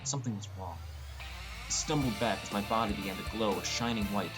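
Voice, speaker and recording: A man's voice: lowish voice, lively intonation, fairly quick. A man reading scripted first-person fantasy narration as an audiobook narrator. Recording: music bed under voice. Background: prominent plucked guitar music.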